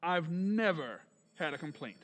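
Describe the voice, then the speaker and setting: A man plays a suave maker of lifelike marionettes, all assured salesman's patter in an old-time radio play. His pitch swings widely, and a long stage reverb trails after each line. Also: expressive, measured pace, soft background music. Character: smooth-talking male maker of lifelike marionettes.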